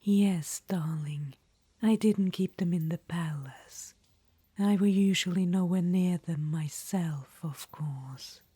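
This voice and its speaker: A woman's voice: fairly low pitch, slow, dark tone, dry recording. Woman whispering as a languid undead witch-queen. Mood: languid, conspiratorial. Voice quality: breathy whisper. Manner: whispering, calls the listener darling. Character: undead witch-queen, ancient, vain, imperious. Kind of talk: ASMR audio roleplay.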